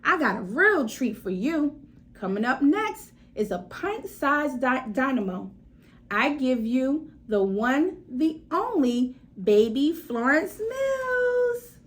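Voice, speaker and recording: Woman putting on a showy, animated stage announcer. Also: full character voice. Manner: drawn-out, booming announcements. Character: grand showman announcing a contest, adult man.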